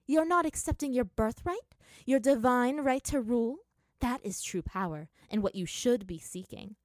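A woman's voice: mid-range voice, moderate pace, darkish tone, no room sound, close to an everyday voice. A woman voicing a malevolent, unhinged princess apparition in a story, proud, taunting and grandiose. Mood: proud, imperious.

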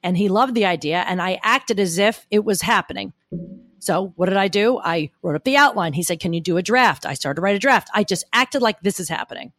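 A woman guest with a gruff, gritty voice, talking emphatically and animatedly in a podcast interview. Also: mid-range voice, fairly quick, no room sound, clean studio sound. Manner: emphatic, argumentative, animated storytelling. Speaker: guest speaker coach.

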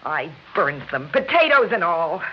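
A woman's voice: fairly low pitch, very animated, measured pace, breathy edge, heavily acted. A woman acting an exasperated working-class American wife in an old radio drama, with an emotional delivery. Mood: flustered, defensive. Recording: dull, noisy old radio recording.

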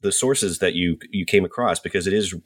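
A man hosting a podcast interview, asking measured questions with an occasional um. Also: low voice, slightly rough. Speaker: podcast host.

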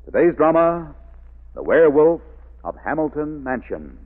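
A man's voice: a little high, sing-song, moderate pace. A man announcing an old radio drama in a portentous, declamatory 1940s narration style. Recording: tinny old radio recording, music behind. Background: music.

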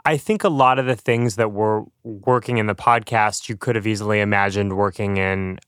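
A man's voice: on the low side, fairly even intonation, normal speed, fairly bright tone, clear, resonant tone, dry recording, studio quality. A man being interviewed, explaining and reflecting in plain conversational speech.

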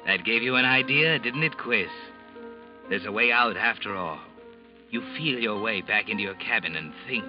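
An actor playing an ominous, insinuating narrator who taunts a guilty schemer in old-time radio suspense style. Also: male voice, lowish voice, animated, normal speed, lightly acted. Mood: knowing, sardonic. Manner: insinuating, taunting second-person address. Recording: muffled old radio recording with loud music.

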